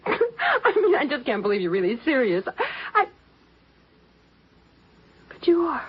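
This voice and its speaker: A woman's voice: high-pitched, wildly swooping pitch, slow pace, slightly rough, heavily acted. An American woman playing a naive, impulsive eighteen-year-old stowaway, hesitant and pleading, in a 1950s radio drama. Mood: disbelieving, desperate. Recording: dull, lo-fi 1950s radio recording.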